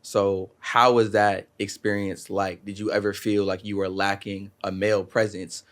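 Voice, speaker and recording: A young man co-hosts a podcast and asks careful, earnest questions, pausing on "um" as he goes. His voice is low and stays steady, with little movement in pitch. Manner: measured, earnest questioning with "um" pauses. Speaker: podcast co-host. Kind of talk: casual podcast conversation.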